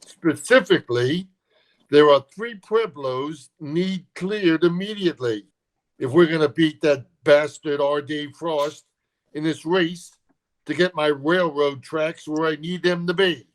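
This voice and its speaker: A man's voice: on the high side, unhurried, natural voice. A man playing a domineering, blustering Southern railroad tycoon, imperious and contemptuous, in a table read of a western. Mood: commanding.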